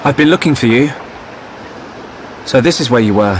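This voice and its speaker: Game lead: male actor as thoughtful young hero, sincere, animated intonation. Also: mid-range voice, moderate pace, slight background noise, natural voice. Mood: troubled. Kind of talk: video game cutscene acting.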